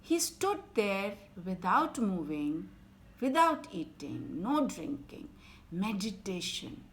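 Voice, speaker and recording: A woman with an Indian English accent who tells children a bedtime story in an expressive, dramatic way and drops to a whisper at times. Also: medium pitch, slight background noise.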